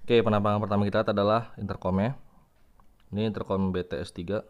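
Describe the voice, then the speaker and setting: A man's voice: lowish voice, little pitch movement, quick pace, clear, resonant tone. A young man. He talks informally, like a vlogger showing a product to friends. His delivery is chatty and casual, in a home-recorded review style. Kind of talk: casual unboxing and product demo. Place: room at home with tiled floor.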